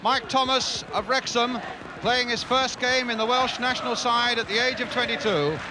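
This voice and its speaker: A man commentating on a football match in a measured, clipped broadcast manner, his voice a little strained. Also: very high-pitched. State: voice strained from travel. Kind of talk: match commentary with off-air asides. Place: football stadium. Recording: noisy 1970s stadium broadcast with loud crowd.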